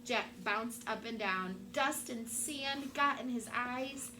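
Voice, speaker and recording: A woman's voice: a little high, takes it slowly. A woman teacher reading a storybook aloud to young children with dramatic, emphatic phrasing. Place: classroom. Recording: noisy room with background ambience.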